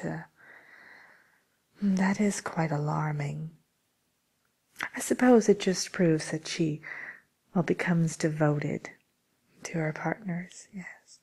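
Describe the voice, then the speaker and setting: A woman playing a polite, tactful matchmaker for villains in an ASMR roleplay, with a soft, whispery voice and hesitant, courteous service patter. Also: deep voice, slightly rough, full character voice. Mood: tactful, confiding.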